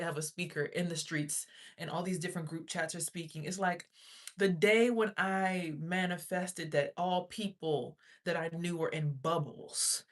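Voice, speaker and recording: A young woman, chatting loosely on a livestream, rambling about spiritual topics and swearing freely. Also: deep voice. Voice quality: raspy. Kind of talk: casual livestream chat.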